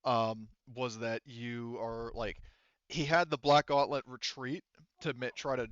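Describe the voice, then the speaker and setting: A man with a clear, smooth voice narrates a tabletop roleplaying game as game master. He is casual and sweary, often halting as he improvises, and the dry recording sounds slightly dull. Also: medium pitch, little pitch movement, fairly bright tone, no echo.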